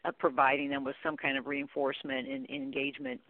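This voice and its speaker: Creaky-voiced middle-aged woman on phone line. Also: rumbling low, big pitch swings, fast talker, dry recording. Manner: explanatory, informal, peppered with "you know". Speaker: guest, contact center training expert. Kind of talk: conversational interview discussion. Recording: narrow, tinny phone-like line.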